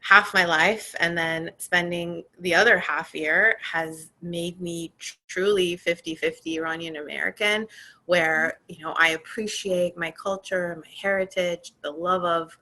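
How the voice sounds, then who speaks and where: Bright-voiced woman, chatty interview guest. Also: on the low side, fairly even intonation, unhurried, poor audio. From Iran and California. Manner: thoughtful, with frequent fillers. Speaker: podcast guest, tech program manager. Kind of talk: conversational interview.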